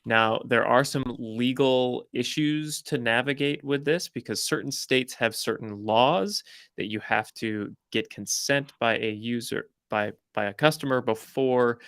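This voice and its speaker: A man co-presenting software updates, explaining features informally in a measured, explanatory way. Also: medium pitch, clean tone, no echo, studio quality.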